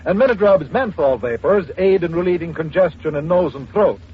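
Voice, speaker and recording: A man's voice: a little high, expressive, moderate pace, breathy edge. A man reading a scripted commercial as an announcer in formal, polished 1940s radio style. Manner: formal, polished selling delivery. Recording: muffled old radio, faint hiss.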